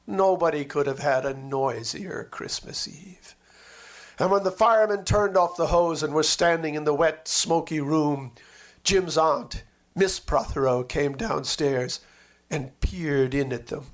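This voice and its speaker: A man narrating a literary memoir expressively, with measured, dramatic phrasing and lingering words. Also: fairly high pitch.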